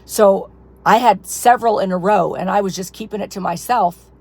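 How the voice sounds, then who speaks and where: A woman's voice: medium pitch, normal speed, gravelly, a little mellow, husky. A woman who is losing her voice, telling a rambling personal testimony to her followers, full of fillers. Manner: rambling, filler-heavy storytelling. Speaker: ministry leader. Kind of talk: casual personal testimony to camera.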